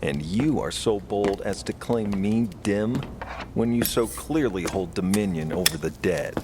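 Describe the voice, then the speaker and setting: A man's voice: lowish voice, unhurried, noisy background, natural voice. An actor playing an earnest, suspicious, God-fearing young man in an audio drama, with formal, theatrical wording. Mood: accusing, suspicious. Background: music.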